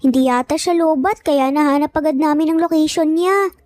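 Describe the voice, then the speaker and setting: A woman narrator puts on a small child from an audio drama, very high in pitch. The kid is polite but insistent, pleading earnestly in a dry recording. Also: brisk, darkish tone, no echo, natural voice. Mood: eager, earnest.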